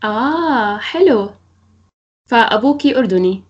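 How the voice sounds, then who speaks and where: Female Arabic teacher, fairly high voice, very quick delivery, roomy sound. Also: lively intonation, dull audio. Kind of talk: vocabulary reading and simple learner interview. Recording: echoey room.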